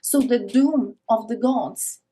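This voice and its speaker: A woman from Eastern Europe with a noticeable Eastern European accent, talking as a podcast guest. Her voice sits somewhat high for a woman. She speaks earnestly and keeps restarting her sentences and repeating words. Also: slow, warm tone. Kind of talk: casual interview talk. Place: Arctic north of Norway.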